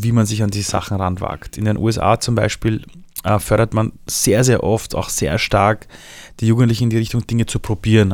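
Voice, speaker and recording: A man from Iran with a Viennese accent, telling his story in a relaxed interview with lively, animated delivery. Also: lowish voice, no room sound. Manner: lively storytelling, shifts voice for quotes.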